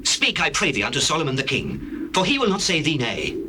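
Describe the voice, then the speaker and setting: An actor playing an ousted prince who pleads in a courtly, wheedling way in a dramatized scripture production. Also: male voice, fairly high pitch, big pitch swings, a little fast. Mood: ingratiating pleading. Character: ousted prince Adonijah, ingratiating, pleading for a favour. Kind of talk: dramatized scripture, classical stage acting. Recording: noisy, hissy old cassette tape.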